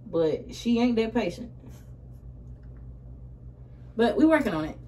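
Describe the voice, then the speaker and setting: A woman's voice: a little high, very animated, takes it slowly, mellow. Young American woman vlogger, a bit far off. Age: 20s. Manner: slangy, conversational. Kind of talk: casual chat while getting ready. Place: small indoor room. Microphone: camera a short distance away. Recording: roomy distant camera mic, faint noise.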